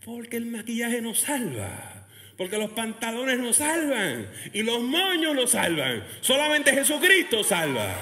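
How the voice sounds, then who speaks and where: Animated preaching pastor in a reverberant hall. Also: male voice, very high-pitched, measured pace, a little hiss or hum. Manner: animated preaching with laughs and acted quotes of churchgoers. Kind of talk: lively sermon. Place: church hall, Texas.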